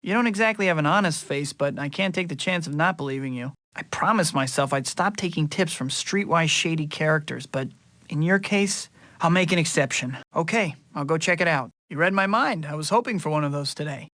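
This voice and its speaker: A young American male superhero for a video game. His voice sits high and forward with a crisp, bright edge, recorded very dry. He tosses out cocky, friendly wisecracks in a boyish, conversational way. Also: fairly quick, dry recording. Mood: wry, bantering. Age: young adult. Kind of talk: video game voice lines, short quips.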